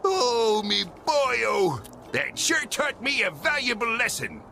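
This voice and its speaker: Cartoon game role: a gravelly old crab boss, blustery, wildly swooping, music behind. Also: male voice, very high-pitched, wildly swooping pitch, takes it slowly, heavily acted. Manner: blustery, melodramatic. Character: money-loving old male crab, blustery, pleading boss. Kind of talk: animated cartoon game voice acting.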